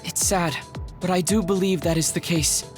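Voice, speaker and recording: A woman's voice: on the low side, steady tone, normal speed, music in the background, natural voice. An actress playing a serious, loyal female squad mate in a video game cutscene. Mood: sombre.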